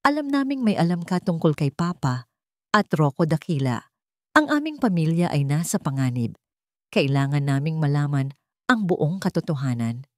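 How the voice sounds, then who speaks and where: A woman's voice: low-pitched, very animated, moderate pace, dry recording, studio quality. A woman voicing a determined daughter and heir, firm and earnest, in a dramatic audio story. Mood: pleading, urgent. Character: determined daughter, young woman heir defending family company.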